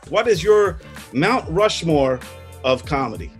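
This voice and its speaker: A man with a Long Island accent hosting a video-call interview, his voice sitting a little high. He talks loosely and laughs easily. The sound comes through a headset. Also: animated, a little slow, over music. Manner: laughs often, loose and chatty. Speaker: podcast host. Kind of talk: casual interview. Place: home room, video call.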